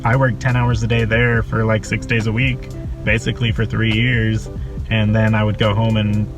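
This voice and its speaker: Young man from Costa Rica, lowish voice, relaxed chatty storytelling, music underneath. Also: normal speed. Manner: relaxed, with fillers and soft laughs. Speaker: interviewee. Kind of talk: casual personal storytelling. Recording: interview with background music.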